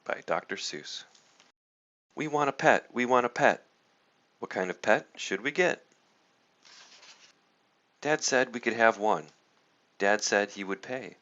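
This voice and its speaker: A man reading a children's storybook aloud in an expressive, playful, rhythmic way. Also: medium pitch, slow, long pauses, muffled recording. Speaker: storybook reader.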